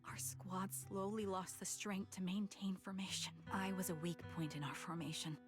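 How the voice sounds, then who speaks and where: An actress playing a haunted, stubbornly hopeful soldier in a video game, speaking in a somber, earnest way. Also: female voice, mid-range voice, steady tone, normal speed, over music, natural voice. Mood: somber, troubled. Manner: somber, earnest, breathy at moments. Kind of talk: dramatic video game monologue.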